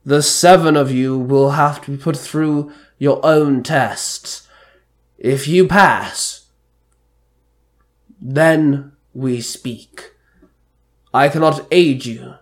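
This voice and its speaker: A man voicing a slow, solemn ancient spirit god. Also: medium pitch. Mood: grave, commanding. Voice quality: bellowing. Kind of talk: amateur fan-fiction story reading.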